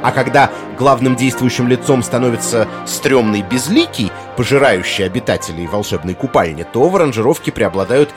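A man giving an explanatory talk on film music, articulate, with deliberate pauses and emphatic phrasing. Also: medium pitch. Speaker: music lecturer, presenter. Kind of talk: explanatory video essay narration on film music.

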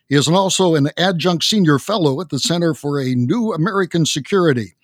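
A man hosting an interview podcast, who speaks in a measured way and asks follow-up questions. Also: a little high, no room sound, studio quality. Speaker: podcast host.

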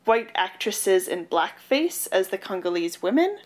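A woman's voice: medium pitch, big pitch swings, unhurried. A young woman from Canada, co-hosting a podcast in casual chat, talking in a blunt, wry, conversational way. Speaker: podcast co-host.

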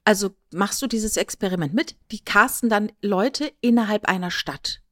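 Eine Frau mit deutschem Akzent plaudert als Podcast-Co-Moderatorin, erklärt erzählend, spielt Zitate nach und sucht mit kleinen Nachfragen Zustimmung. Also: female voice, medium pitch, normal speed, sharp tone, no room sound, studio quality. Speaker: podcast co-host. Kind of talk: casual podcast chat, explanatory retelling.

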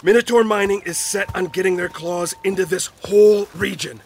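An actor playing a gruff, guilt-ridden middle-aged small-town American man in an audio drama, husky-voiced, blunt and folksy. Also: high-pitched, steady tone, moderate pace. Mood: urgent. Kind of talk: naturalistic audio drama acting.

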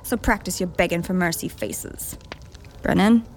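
A bossy noblewoman acted by a woman gives orders in a brisk, dry, sardonic way. It is an audio drama with faint noise in the background. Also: fairly low pitch, close to an everyday voice. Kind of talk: scripted audio drama acting.

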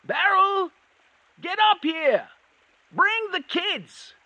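Excitable high-pitched stone troll. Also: male voice, a little slow, slightly rough, dry recording, full character voice, rough recording. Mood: overjoyed, excited. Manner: desperate, effusive, exclaiming. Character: big stony male troll, dim, excitable, starstruck family man.

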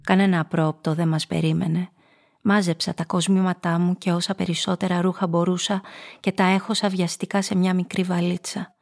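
An actress playing a hard-boiled femme fatale who narrates her downfall in a radio drama, in a measured, confessional way. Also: fairly low pitch, little pitch movement, gravelly. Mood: somber, tense.

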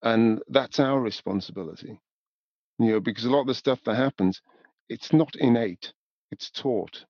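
An older man speaks reflectively in an interview. His delivery is measured and thoughtful, peppered with "you know", in a mid-pitched voice. The recording is dry and a little dull. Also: darkish tone, no room sound. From north of England, born in Newcastle. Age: 70s. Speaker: podcast guest, music industry professional.

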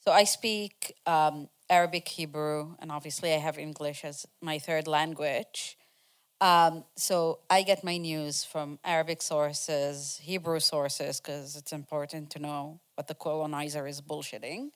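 Woman talking slow at a community panel, smooth clear voice, earnest, lots of ums. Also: sits low, fairly even intonation. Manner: earnest, halting, with many fillers. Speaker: panel speaker. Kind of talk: speaking at a community forum.

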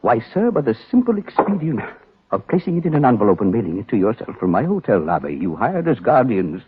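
A man's voice: mid-range voice, sing-song, a little fast, close to an everyday voice. A man acting a pompous, sly crime boss in a 1940s radio drama, florid, theatrical and over-polite. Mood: admiring, gloating. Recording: noisy, muffled old radio recording.

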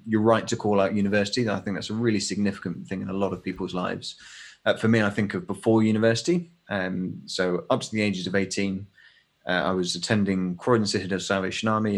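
A man in his thirties from England, a guest on a podcast. His voice sits low with a gravelly, fry-touched edge. He thinks aloud and often restarts his sentences. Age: 30s. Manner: thoughtful, with many fillers and restarts. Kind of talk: casual conversation.

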